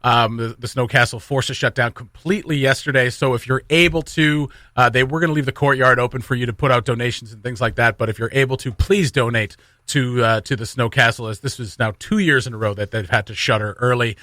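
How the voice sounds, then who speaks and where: A man's voice: mid-range voice, steady tone, a little fast, few pauses, pushed and bright, no room sound. A man hosting a radio morning show, bantering casually and interviewing live on air from a studio.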